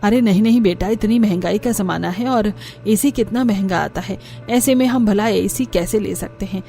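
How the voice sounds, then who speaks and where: A woman's voice: mid-range voice, moderate pace, vocal fry, mellow, soft background music, close to an everyday voice. A woman voicing a scheming, thrifty middle-aged mother-in-law in a cartoon story, coaxing and breezily dismissive. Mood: brazen. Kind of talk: cartoon story character dialogue.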